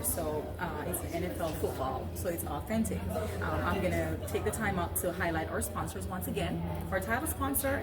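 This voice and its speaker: A woman's voice: medium pitch, heavy background noise. An American woman hosting a talk show in a conversational style, moving between interview and sponsor reads. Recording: echoey room, distant mic, loud crowd.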